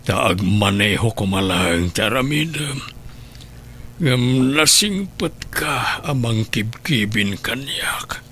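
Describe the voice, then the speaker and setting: A man's voice: mid-range voice, expressive, takes it slowly, fairly bright tone, slight background noise. A man playing a frail, grateful elderly patient in a radio drama, with an Ilocano Filipino accent. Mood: grateful, wry.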